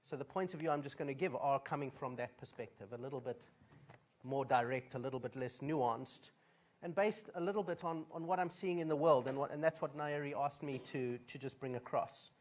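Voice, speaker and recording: A man's voice: medium pitch, fairly quick. Direct South African man at a podium. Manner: direct, plain-spoken, with fillers. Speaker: conference speaker. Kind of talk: opening remarks at a conference.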